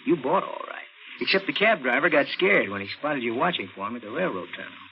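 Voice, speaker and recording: A man's voice: mid-range voice, sing-song, fast talker. American tough-guy killer, commanding, clipped delivery, 1940s radio drama, dull noisy recording. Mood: cold, menacing. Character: cold-blooded racketeer murderer posing as a policeman. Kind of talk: 1940s radio thriller acting.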